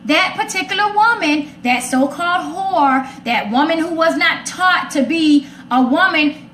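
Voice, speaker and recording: A woman with a high voice hosts a casual, personal talk. She is blunt and opinionated, driving her points home through repetition. The room rings with echo, and there is faint noise behind her. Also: lively intonation, unhurried, a bit bright. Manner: direct, opinionated, emphatic repetition. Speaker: talk show host addressing live comments.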